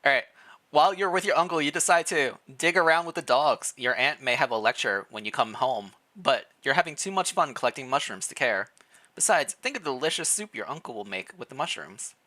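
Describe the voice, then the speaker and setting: Lively, bright-voiced streamer reading aloud. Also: mid-range voice, fairly quick. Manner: playful, expressive reading. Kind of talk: livestream read-aloud with casual chat.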